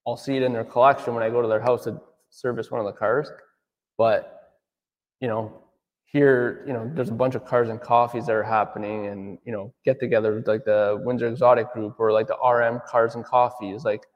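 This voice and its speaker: A man's voice: mid-range voice, little pitch movement, fairly quick, long reverb tail, highs cut off. A man in his thirties, an auto detailer talking casually in an interview, full of fillers and asking "right?" a lot. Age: 30s. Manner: rambling, filler-heavy, says "right?" often. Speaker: auto detailer, business owner.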